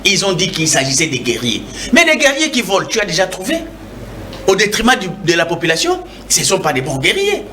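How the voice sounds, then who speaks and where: A man's voice: high-pitched, huge pitch swings, fairly quick, pushed and bright, a little hiss or hum. A man, a guest in a televised political debate, making sarcastic points with mocking laughter.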